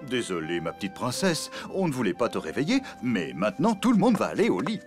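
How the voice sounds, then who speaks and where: A cheerful cartoon elephant father, reassures and brags like a proud handyman. His pitch swoops wildly from low to high in a theatrical delivery, with music playing behind him. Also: huge pitch swings, a little fast. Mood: reassuring. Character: cheerful, boastful elephant dad, a clumsy handyman. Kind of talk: children's cartoon dialogue.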